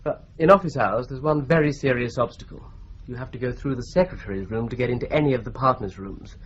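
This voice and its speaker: An actor plays a male solicitor with a wry, lightly ironic way of talking. His voice sits at a middle pitch. The sound is dull, like an old broadcast. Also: quick pace, lightly acted. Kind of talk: radio drama acting.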